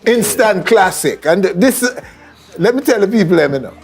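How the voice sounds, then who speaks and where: A man's voice: high voice, animated, moderate pace, darkish tone. A middle-aged Jamaican man hosting an interview show, putting questions to his guests. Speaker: television interview host.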